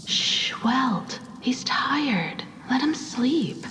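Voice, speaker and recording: A woman playing a caring, composed starship navigator in a video game, speaking gently in a measured, reflective way. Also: high voice, sing-song, heavily acted. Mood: hushed, caring. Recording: music behind the voice.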